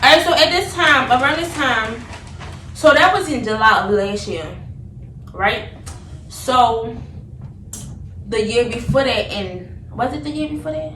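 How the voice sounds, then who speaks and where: A woman's voice: on the high side, unhurried, long pauses. A young woman telling a story in casual chat, acting out the dialogue as she goes. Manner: animated retelling with quoted dialogue. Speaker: vlogger. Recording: roomy, noisy, distant microphone.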